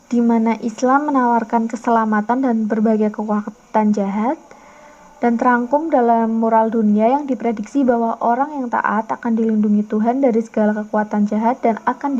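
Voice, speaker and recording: A young woman delivers a scripted class presentation. Her voice sits a little high and has a soft, mellow tone. She reads formally from prepared text, and the recording sounds dull and lo-fi. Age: young adult. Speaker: student presenter. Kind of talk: scripted academic presentation, read aloud.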